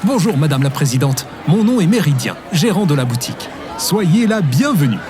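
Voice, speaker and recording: A deferential shop manager acted by a man in an audio drama, with a fairly high, lively voice. He is formal and eager, with music and a crowd behind him. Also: normal speed.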